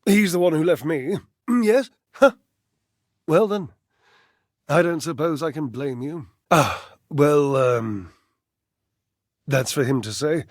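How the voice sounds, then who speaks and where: An actor playing a flamboyant, lovelorn household-object character in a video game, theatrical, flighty and verbose, with dramatic pauses. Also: male voice, a little high, lively intonation, dry recording, studio quality. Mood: wounded, evasive.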